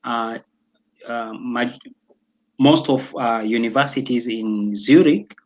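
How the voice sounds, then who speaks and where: A young man from Tanzania talking casually as an interview guest, pausing often with fillers and restarts. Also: medium pitch, muffled recording. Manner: hesitant, many fillers and restarts.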